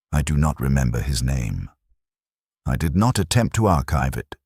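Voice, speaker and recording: A man with an extremely low, husky voice delivers dramatic scripted military fiction. His phrasing is clipped and grave, yet the pitch moves expressively. The recording is close and dry. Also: no echo. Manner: clipped, measured, grave delivery in short declarative sentences. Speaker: narrator of a first-person war story.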